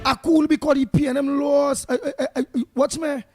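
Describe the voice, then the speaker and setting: Trini guy w really high voice, creole, lively casual chat, sing songy, bit of music under it. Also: moderate pace. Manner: animated, colloquial, sing-song. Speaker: talk show co-host.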